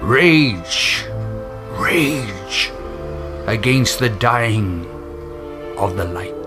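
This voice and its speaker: Man reciting poetry, very slow and weighty, music underneath. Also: lowish voice, expressive. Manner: slow, measured, dramatic.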